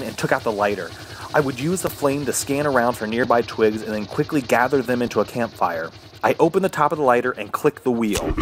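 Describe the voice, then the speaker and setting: A man's voice: medium pitch, natural voice, poor audio. Man acting a desperate, dying castaway. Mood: frantic, urgent. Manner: intense, swinging from weary musing to yelling. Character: desperate, dying man trapped in the woods, haunted. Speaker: lead actor and first-person narrator. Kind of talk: dramatic horror radio play narration. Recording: music behind the voice.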